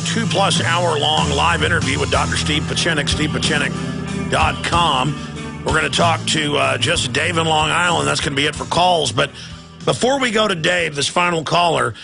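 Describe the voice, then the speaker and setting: A man's voice: a little high, moderate pace, gravelly, music in the background. A male talk radio host who hurries his callers along and pushes the show forward.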